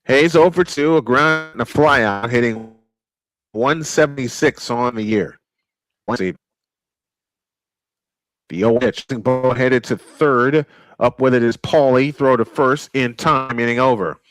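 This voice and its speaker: A man's voice: medium pitch, slow pace, pauses often, dry recording, rough recording. Old-school baseball play-by-play man. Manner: old-school broadcast calling with wordplay. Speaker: play-by-play announcer. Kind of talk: live baseball commentary.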